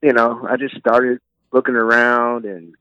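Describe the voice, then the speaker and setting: This is a man in his thirties who calls in to a podcast and tells his stories in a relaxed, easygoing way. His voice comes through a dull, muffled phone line, and the sound is dry with no room around it. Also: mid-range voice, unhurried, clean tone, no echo. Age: 30s. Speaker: podcast guest on a phone call. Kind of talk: casual phone chat.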